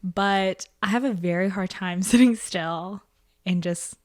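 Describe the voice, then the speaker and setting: A woman's voice: mid-range voice, a little slow. A woman in her twenties, a podcast guest raised in small-town Tennessee, chatting casually, self-mocking and laughing often. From small-town Tennessee, born in Indonesia. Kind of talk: casual interview chat.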